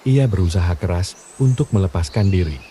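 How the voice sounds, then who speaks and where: A man narrating scripted children's bedtime stories with a dark, husky voice. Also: low-pitched, expressive, fairly quick, a little hiss or hum. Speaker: storyteller narrator.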